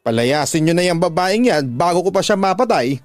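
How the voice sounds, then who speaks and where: A man acts out an angry Filipino daughter in a radio drama. She is defiant and confrontational, throwing threats in a raised pitch, close and dry on the mic. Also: expressive, brisk, dry recording. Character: angry, defiant grown daughter, fiercely protective of siblings.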